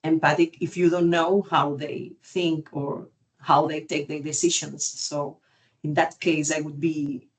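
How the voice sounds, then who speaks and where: A woman from Cuba with a Cuban Spanish accent, speaking as an expert panellist in an unscripted webinar, searching for words in halting non-native phrasing. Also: low-pitched, little pitch movement, poor audio. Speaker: genetic counselling expert and panellist. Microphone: video call.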